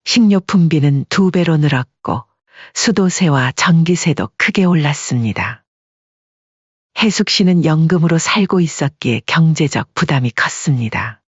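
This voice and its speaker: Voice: medium pitch, expressive, measured pace, slightly rough, no room sound, highs cut off. A narrator reading a scripted family story in a storytelling style.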